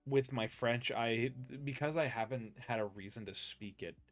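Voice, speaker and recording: A young American man hosting a casual interview, rambling with lots of restarts and getting animated when he tells a story. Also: mid-range voice, normal speed. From United States. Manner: rambling, many fillers and restarts, animated when telling stories. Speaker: podcast host.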